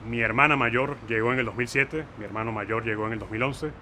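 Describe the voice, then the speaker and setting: Man in his thirties, sharp bright voice, reflective narrator, faint traffic behind. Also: medium pitch. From Venezuela, Caracas. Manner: reflective, thinking aloud with filler pauses. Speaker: filmmaker and interviewer. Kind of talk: personal documentary narration.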